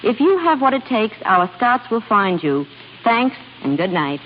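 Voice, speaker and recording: A woman's voice: fairly high pitch, very animated, unhurried. A woman giving a scripted, formal and instructive talk as a guest on 1930s radio. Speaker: guest casting director. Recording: dull, noisy 1930s radio broadcast.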